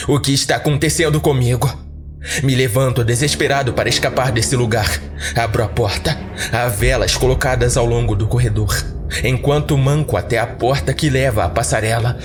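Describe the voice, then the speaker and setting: Brazilian man narrating horror over music. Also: medium pitch. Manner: deliberate, dramatic storytelling. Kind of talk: scripted first-person horror story narration.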